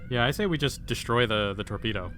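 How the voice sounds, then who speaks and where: A man's voice: medium pitch, lively intonation, quick pace, a bit bright. A man chatting casually as a player in a tabletop role-playing game. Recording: clear background noise.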